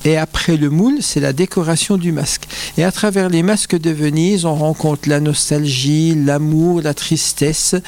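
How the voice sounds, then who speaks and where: An older man from eastern France speaks as an interview guest. His voice sits a little high for a man. He explains and reminisces conversationally, pausing to think as he goes. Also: steady tone, darkish tone. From France, Vosges. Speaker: interview guest, carnival founder.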